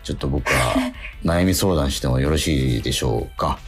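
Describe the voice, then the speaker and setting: A middle-aged man chatting casually as a radio host, in a hesitant, halting and self-doubting way. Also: rumbling low, soft background music. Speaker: radio host and stage actor.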